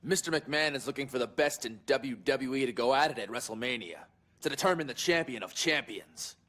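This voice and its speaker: A smug, self-admiring young wrestler voiced by a man in a video game. He talks at an average male pitch, boastful and sneering in his promo delivery. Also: brisk, music in the background, lightly acted. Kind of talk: trash-talking wrestling promo.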